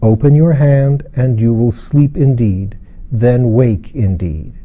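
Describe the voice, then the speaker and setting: A man's voice: medium pitch, takes it slowly, lo-fi sound, lightly acted. A man voicing a stern, solemn woman in a storybook, urging earnestly. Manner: earnest, exhorting.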